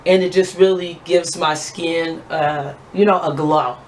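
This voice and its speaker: A young woman with a raspy, low voice chatting heartfelt and casual as a beauty vlogger while doing her skincare at home. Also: steady tone, a little slow, darkish tone, slight background noise. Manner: chatty, heartfelt, thanks God often.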